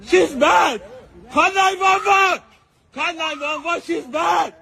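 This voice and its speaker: Distressed man shouting, very high voice. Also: steady tone, slow pace, creaky. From Iran. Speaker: bystander. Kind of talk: shouted pleading. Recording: distant shouting in noisy crowd, clicks. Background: crowd and street noise.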